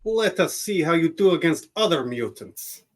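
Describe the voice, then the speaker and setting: A man's voice: a little high, lively intonation, normal speed. A man playing a bold, earnest superhero in a radio theatre read. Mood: challenging.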